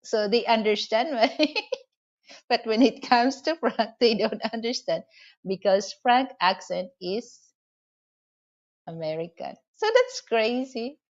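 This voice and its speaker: A woman's voice: fairly high pitch, slow pace, pauses often, highs cut off. A woman from the Philippines with a Filipino accent, chatting casually to her viewers and rambling with many "you know" and "okay?" fillers. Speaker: vlogger. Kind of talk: casual advice and storytelling to camera.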